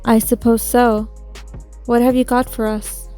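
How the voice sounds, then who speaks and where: Woman as a curt undercover agent, mellow high voice. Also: little pitch movement, unhurried, soft background music, lightly acted. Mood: guarded. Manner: curt, unimpressed. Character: guarded, businesslike female undercover agent. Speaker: voice actor in an audio drama. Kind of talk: sci-fi audio drama acting.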